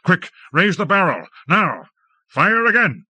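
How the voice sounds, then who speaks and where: A man playing an imperious, hot-tempered lord who barks orders loudly. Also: fairly high pitch, lively intonation, a little fast, no echo, voice pushed up, heavily acted. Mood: furious. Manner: barking, shouting orders. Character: imperious, hot-tempered male lord commanding a war machine.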